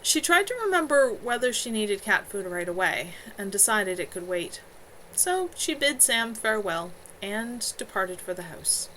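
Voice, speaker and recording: A woman's voice: mid-range voice, sing-song, normal speed, hardly any pauses, pushed and bright. A woman reading a novel aloud as narration, an amateur author on a livestream from home. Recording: noisy home livestream audio.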